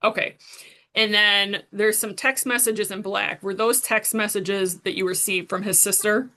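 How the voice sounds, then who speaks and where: Woman, prosecuting attorney, bright mid-pitched voice, formal procedural courtroom questioning. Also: fairly even intonation, a little slow.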